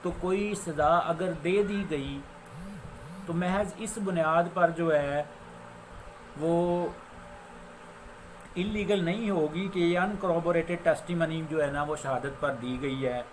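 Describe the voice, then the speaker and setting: A Pakistani man with a fairly high voice explains legal points as a lecturer. He speaks very slowly and deliberately, often restating phrases. There is faint noise behind him. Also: rough recording. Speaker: law lecturer, advocate. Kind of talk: explanatory law lecture mixing Urdu with English legal terms.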